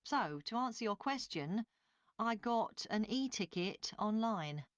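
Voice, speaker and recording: A woman's voice: mid-range voice, animated, unhurried, no echo, lo-fi sound, close to an everyday voice. A woman playing an obliging, matter-of-fact train passenger answering questions in a scripted listening-test dialogue. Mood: mildly complaining. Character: woman journalist, obliging but mildly critical train passenger.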